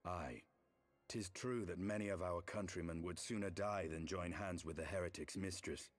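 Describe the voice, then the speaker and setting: An actor playing a grave, battle-worn fantasy knight in a video game, speaking in measured, formal archaic phrasing. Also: male voice, deep voice, lightly acted. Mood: grave, reflective. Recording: game audio with music behind.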